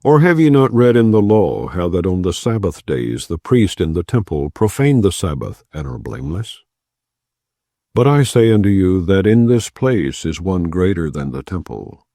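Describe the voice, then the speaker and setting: Deep-voiced man reading scripture solemnly. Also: very animated, mellow, no room sound, studio quality. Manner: solemn, measured reading of archaic text. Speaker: Bible reader.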